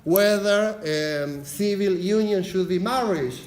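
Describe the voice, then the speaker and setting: Fast-talking Argentine lecturer in an echoey hall. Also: male voice, sits high, a little mellow. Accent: Argentine Spanish. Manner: fast-talking, frequent "yes?" check-ins, filler "uh". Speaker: university lecturer, unit leader. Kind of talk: lecture introduction. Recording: echoey lecture hall, faint background noise.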